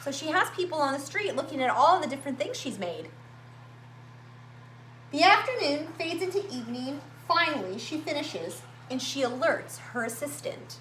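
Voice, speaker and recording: A woman reading a picture book aloud to young children, with expressive, dramatic emphasis and drawn-out words. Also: high-pitched. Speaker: children's story-time reader at a library. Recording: roomy echo, faint background noise.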